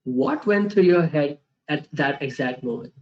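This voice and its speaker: A man's voice: a little high, animated, a little slow, lo-fi sound. A man hosting a question-and-answer session, politely and formally reading out prepared questions.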